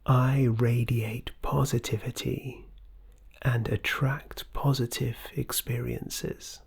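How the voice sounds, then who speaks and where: Meditation guide: hushed, soothing, scripted delivery, unhurried pace, breathy and husky with vocal fry. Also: mid-range voice, little pitch movement. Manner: soft, soothing, breathy, often near whisper.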